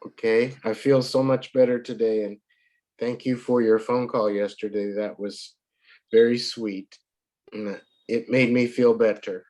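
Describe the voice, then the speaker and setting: A man tutoring a child in English, patient and a little hoarse from a cold, often clearing his throat. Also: lowish voice, flat delivery, unhurried, darkish tone. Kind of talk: online one-to-one lesson.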